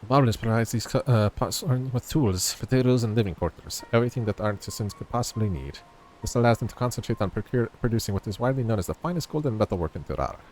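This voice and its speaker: A man's voice: on the low side, expressive, fast, a little mellow, rough recording. A man streaming a video game, reading its dialogue aloud and chatting casually, sometimes stumbling over the words. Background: game music.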